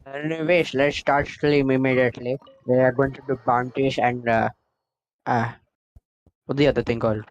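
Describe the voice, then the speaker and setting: A man's voice: medium pitch, fairly even intonation, normal speed, lo-fi sound, a little hiss or hum. A man chatting casually while he plays a game on a live stream. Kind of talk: casual gameplay chat.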